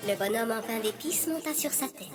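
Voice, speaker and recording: Woman storyteller for kids, highish voice, scripted fairy tale narration, music under it. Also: expressive, a little fast.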